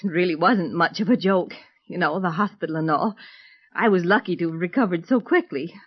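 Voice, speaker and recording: An actress playing a gentle middle-aged mother, affectionate but easily wounded, in a mid-century radio drama. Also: fairly low pitch, brisk, husky. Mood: subdued, earnest. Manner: genteel, affectionate, expressive. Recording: dull lo-fi old radio recording.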